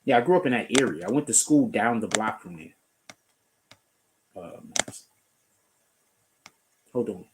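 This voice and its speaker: A man from the Bronx, hosting a relaxed live stream. He talks loosely and swears freely, thinking out loud as he reacts to news stories and clicks around the screen. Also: lowish voice, measured pace, pauses often, noisy background. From the Bronx, New York. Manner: loose, profane, talks while clicking through pages. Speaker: streamer host. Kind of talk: casual commentary on news.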